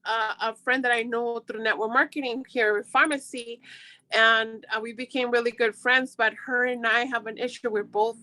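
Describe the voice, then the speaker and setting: A Mexican-born woman whose first language is Spanish gives social media tips in a friendly, chatty way. Her voice sits a little high and has a bright, forceful edge. The sound is dry and close, with little room tone, and she often restarts her sentences. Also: steady tone, normal speed, no echo. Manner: chatty and encouraging, with frequent restarts. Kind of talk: casual workshop chat.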